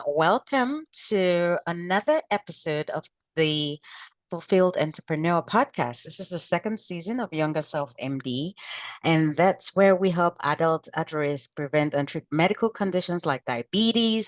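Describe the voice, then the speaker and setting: A woman hosting a podcast interview, measured and encouraging with her guest. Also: on the low side, no room sound. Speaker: podcast host, physician.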